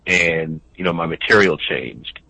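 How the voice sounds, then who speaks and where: Deep, bright-toned man, earnest comedian. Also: measured pace, gravelly, low-quality recording. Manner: earnest, leans on "you know". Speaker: stand-up comedian. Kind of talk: casual podcast chat.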